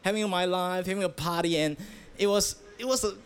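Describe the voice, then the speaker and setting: A man's voice: high-pitched, fairly quick. Man, from Malaysia, pastor, forties, earnest, hesitant, outdoor interview, faint background noise. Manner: earnest, hesitant, frequent restarts and fillers. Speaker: pastor, guest. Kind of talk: casual interview answers. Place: outdoors at a festival.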